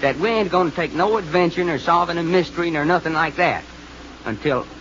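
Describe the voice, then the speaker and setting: A man's voice: on the high side, a little fast, fairly bright tone, lightly acted. An actor playing a boastful, girl-crazy, folksy adventurer with a Southern American drawl in an old-time radio serial. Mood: excited. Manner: folksy drawl with colloquial slang. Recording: muffled, noisy old-time radio recording.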